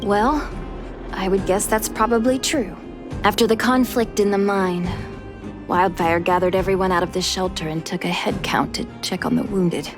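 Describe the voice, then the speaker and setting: An actress voicing an earnest, loyal female miner in a video game, calm and explanatory. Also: medium pitch, moderate pace, over music, lightly acted. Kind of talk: measured video game dialogue.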